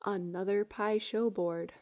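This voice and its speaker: A woman's voice: medium pitch, steady tone, unhurried, darkish tone, natural voice. A woman acting a practical, loyal, dry-witted young servant in a fan-made visual novel. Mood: dry, exasperated.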